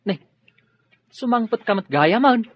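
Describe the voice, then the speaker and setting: Husky, obliging family driver. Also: male voice, high-pitched, lively intonation, measured pace, full character voice. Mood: obliging, curious. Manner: obliging, exclamatory. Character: deferential, chatty, sympathetic family driver. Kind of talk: radio drama acting. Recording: dull lo-fi radio drama audio.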